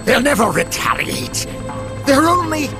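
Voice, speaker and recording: An actor playing a smug, fanatical older villain in a video game, speaking in a theatrical, gloating way. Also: male voice, very high-pitched, sing-song, unhurried, vocal fry, exaggerated voice. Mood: scornful, calm. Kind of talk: dramatic video game cutscene acting. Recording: loud music under the voice.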